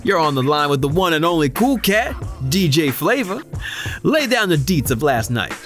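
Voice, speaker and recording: Flashy call-in radio DJ played by an actor, slangy and smooth, very animated delivery, music bed. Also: medium pitch, moderate pace, lightly acted. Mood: upbeat, intrigued. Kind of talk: radio call-in show patter in an audio drama. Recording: music under the voice.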